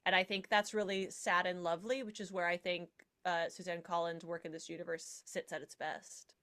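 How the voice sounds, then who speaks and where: A woman gives casual analysis as a guest on a podcast panel. Her voice sits a little lower than most women's. She phrases things articulately, with a wry edge. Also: little pitch movement, normal speed, bright, forceful tone.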